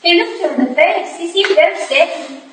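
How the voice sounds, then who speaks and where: A woman's voice: very high-pitched, animated, moderate pace. A woman in a staged play reading, playing an earnest young Victorian detective's protégée, polite and tentative. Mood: earnest, reasoning. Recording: very echoey room, distant mic, faint noise.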